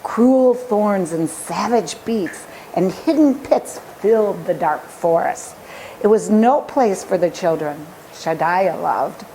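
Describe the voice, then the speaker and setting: A woman storyteller reading a children's picture book aloud with expressive, deliberate phrasing. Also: medium pitch, a little hiss or hum, low-quality recording.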